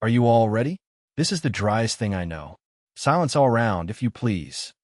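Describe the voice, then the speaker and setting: Guy reading as a huffy self-important mouse from a kids book, very animated, dry close sound. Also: on the low side, moderate pace, dry recording, close to an everyday voice. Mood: pompous. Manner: passionate, pompous. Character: touchy, indignant, self-important male mouse.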